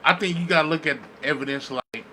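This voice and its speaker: A man's voice: on the high side, fast talker, pushed and bright, a little hiss or hum. A man co-hosting a casual podcast debate, arguing in profane slang and repeating words for emphasis. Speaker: podcast co-host.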